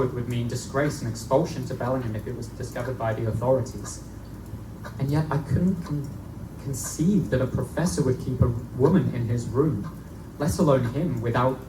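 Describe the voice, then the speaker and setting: A man playing an earnest, studious young student narrating a gothic tale in formal, measured phrases at a live reading, recorded in a roomy, noisy space. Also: medium pitch, natural voice. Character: Smith, earnest studious young male Oxford student recounting eerie events. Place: live stage reading in a bookstore. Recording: echoey live recording, distant mic, room noise.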